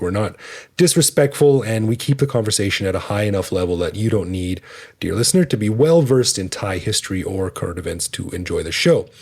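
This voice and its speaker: Low husky-voiced male podcast co-host. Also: darkish tone. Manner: chatty, joking, laughs often. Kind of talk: casual podcast chat.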